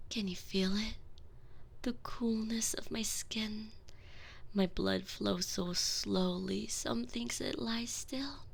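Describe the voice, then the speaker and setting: A woman voicing a commanding, possessive female vampire mistress in a binaural ASMR audio roleplay, speaking slowly and domineeringly, often whispering close to the ear. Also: mid-range voice, gravelly, full character voice. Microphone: binaural microphone.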